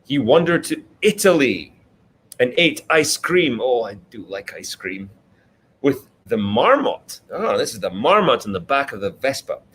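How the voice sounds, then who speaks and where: A man's voice: medium pitch, very animated, a little slow, fairly bright tone, breathy edge. A man reading a children's book aloud as its author, expressively, with simple explanatory asides to young listeners. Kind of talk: read-aloud storytelling for children, interactive.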